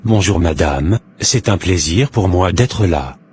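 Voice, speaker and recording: An actor playing an earnest, self-righteous businessman running for parliament in an animated drama, speaking formally in speeches. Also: deep voice, slow, slight background noise. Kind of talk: animated drama dialogue, political interview answers.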